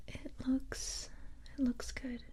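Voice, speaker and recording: Very soft, breathy whispering woman. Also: high voice, flat delivery, takes it slowly. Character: capable, tough yet gentle young female special-forces recruiter. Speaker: ASMR roleplay performer. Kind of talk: soft-spoken whispered ASMR roleplay.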